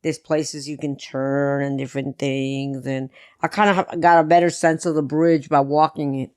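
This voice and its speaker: A woman from New York talks as a podcast guest, with a deep voice that some listeners mistake for a man's. She tells her stories in a plain, matter-of-fact way. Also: little pitch movement, moderate pace, a little mellow, clear, resonant tone, dry recording, studio quality. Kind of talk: casual interview.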